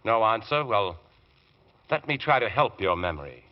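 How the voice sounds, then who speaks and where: An actor playing a relentless barrister in an old radio drama, questioning in a clipped, insistent, accusatory way. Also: male voice, on the low side, very animated, moderate pace. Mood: pointed, probing. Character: relentless male defence barrister grilling a witness. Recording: dull lo-fi old radio recording.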